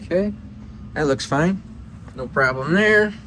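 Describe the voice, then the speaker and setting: A man's voice: a little high, animated, a little slow, bright, forceful tone, a little hiss or hum. A man hosting an engine-building video, chatting casually to viewers and thinking aloud, often trailing off mid-sentence. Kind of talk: casual shop talk to viewers. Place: engine workshop.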